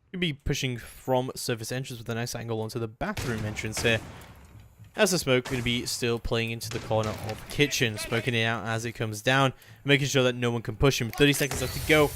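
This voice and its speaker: A man's voice: mid-range voice, fairly bright tone, a little hiss or hum. Man casting a live esports match. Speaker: play-by-play esports commentator. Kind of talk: live video game match commentary.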